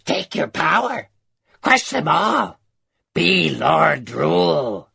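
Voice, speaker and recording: Shrill cackling cave creature, gravelly and swooping, ranting with mad glee. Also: male voice, big pitch swings, extremely slow, bright, forceful tone, heavily acted. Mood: gloating rant. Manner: ranting, gloating. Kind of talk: audiobook character voice.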